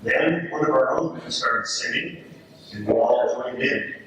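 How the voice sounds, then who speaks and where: A man playing a wistful British First World War soldier, telling his letter home in an earnest, measured readers theater style. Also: mid-range voice, pushed and bright. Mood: earnest wonder. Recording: echoey hall from far off, faint noise.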